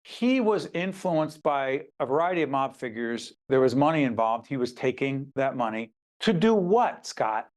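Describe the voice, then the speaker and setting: A man's voice: medium pitch, big pitch swings, normal speed, hardly any pauses. A man who grew up in Newark, hosting an interview with an author in an emphatic, interjecting way. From Newark, New Jersey. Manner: emphatic, interjecting, directs the conversation. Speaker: host.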